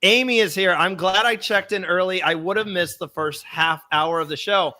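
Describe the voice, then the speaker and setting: Hoarse, bright-voiced male podcast host. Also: sits high, moderate pace. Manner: chatty, upbeat, reads viewer comments aloud. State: hoarse, recovering lost voice. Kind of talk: live podcast chat.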